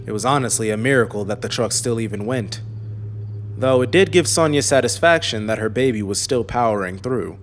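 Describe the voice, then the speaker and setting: A man narrating a scripted fantasy audiobook in a measured, serious way. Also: mid-range voice, slight background noise.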